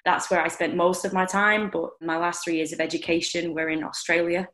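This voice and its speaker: A woman's voice: on the low side, little pitch movement, fairly quick, fairly bright tone. A young woman with a Lancashire accent, answering interview questions in a thoughtful way with frequent restarts. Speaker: guest theatre maker and composer. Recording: roomy echo.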